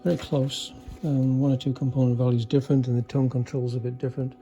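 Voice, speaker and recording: A man with a soft-edged, mellow voice and medium pitch talks through a hands-on electronics demo. He is hesitant and understated, explaining technical details casually, without a script. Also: flat delivery, quick pace. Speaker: electronics hobbyist demonstrating a repaired vintage car radio. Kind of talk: casual unscripted demonstration.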